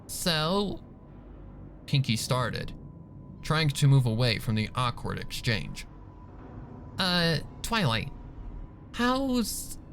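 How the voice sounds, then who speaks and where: A man's voice: medium pitch, a bit bright. A man reading fan fiction aloud as a scripted, dramatic story narration. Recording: music bed under the narration.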